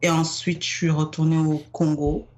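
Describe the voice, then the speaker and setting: A woman's voice: deep voice, little pitch movement, moderate pace, a little mellow. A young woman from France, guest on an interview podcast, answering candidly with many fillers. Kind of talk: casual interview.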